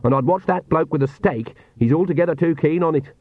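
A man's voice: a little high, a little fast, no echo, narrow, tinny audio, voice pushed up, natural voice. A man as a blunt, exasperated young woman. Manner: blunt, grumbling.

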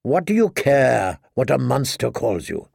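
A man voicing a cocky, cruel highborn knight with a mocking, sneering drawl for an audiobook. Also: big pitch swings, measured pace, gravelly, a little mellow, breathy edge, no echo, clean studio sound, full character voice.

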